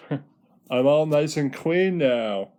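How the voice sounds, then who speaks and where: Flamboyant male puppet entertainer, played by a man: slow, mellow delivery, preening, teasing, foul-mouthed. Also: fairly high pitch, expressive. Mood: sassy, preening. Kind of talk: puppet show comedy monologue.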